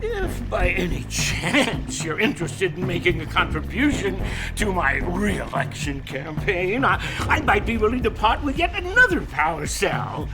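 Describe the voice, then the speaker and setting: A self-serving cartoon mayor for a video game, pompous and prone to stammering. The voice is high and swoops around at a slow, drawn-out pace. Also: male voice, sing-song, full character voice. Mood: wheedling. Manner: stammering, blustering. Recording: game music behind the voice.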